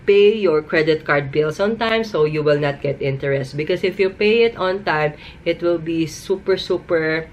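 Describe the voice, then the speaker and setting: A woman with a Filipino accent talks casually, as a vlogger giving advice. Her voice sits a little low, and she leans on repeated words for emphasis. There is some noise behind her. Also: moderate pace, hardly any pauses, dull audio. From Philippines. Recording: noticeable background noise.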